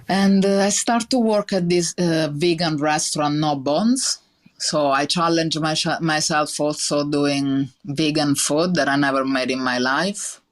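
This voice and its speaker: A woman's voice: low voice, fairly even intonation, takes it slowly. A woman in her thirties with a strong Italian accent, speaking earnestly in a podcast interview, with many fillers and restarts. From Italy. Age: 30s. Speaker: chef guest.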